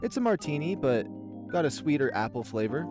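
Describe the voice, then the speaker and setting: Male reader as friendly young visual-novel bartender, playful and accommodating, lifted pitch, clear music underneath. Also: sing-song, fairly quick. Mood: friendly, obliging. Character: friendly, playful, obliging young man tending the bar.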